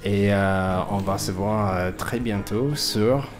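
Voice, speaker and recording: A man hosting a radio show, speaking casually and haltingly as he searches for his words. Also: lowish voice, music in the background. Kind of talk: casual spoken sign-off.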